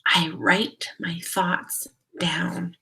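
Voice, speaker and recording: A woman voicing an understanding female school librarian in a storybook, gentle and reassuring. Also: fairly low pitch, level tone, drawn-out words, vocal fry, distant mic, heavily acted.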